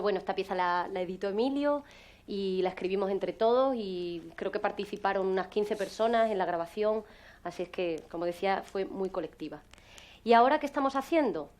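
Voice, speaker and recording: A woman giving a conference talk at a middle pitch. She pauses and restarts often, and lets her voice fade at the ends of phrases. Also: darkish tone. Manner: hesitant, trailing off at ends of phrases. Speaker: conference presenter.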